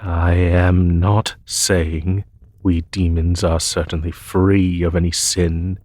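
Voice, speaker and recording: A man playing a noble, weary, peace-seeking demon emperor in a fantasy audio roleplay, speaking in a formal, measured way. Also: low-pitched, darkish tone. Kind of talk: fantasy audio roleplay, spoken softly to one listener.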